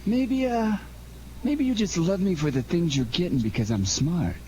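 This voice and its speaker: A man's voice: high-pitched, animated, moderate pace, mellow. A man playing a cocky, streetwise fur thief in a 1940s-style radio crime drama, brusque and slangy. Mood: brisk, bossy. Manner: brusque, slangy, self-assured. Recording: noisy amateur radio drama recording.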